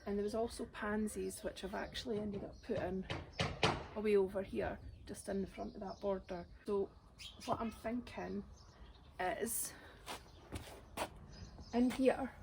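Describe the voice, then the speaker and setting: A woman, her voice raspy today, chatting casually as she works in her outdoor garden with birdsong around her. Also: medium pitch, steady tone, unhurried, noisy background. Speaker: gardening vlogger. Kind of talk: casual chat while gardening.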